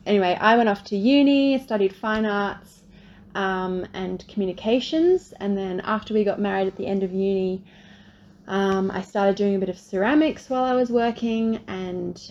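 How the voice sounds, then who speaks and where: A woman speaks gently and a little hesitantly, with frequent ums, at a middle pitch. The recording is slightly dull, with a faint hiss behind her. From Australia, grew up in Pakistan. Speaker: pastor's wife. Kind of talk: casual video introduction.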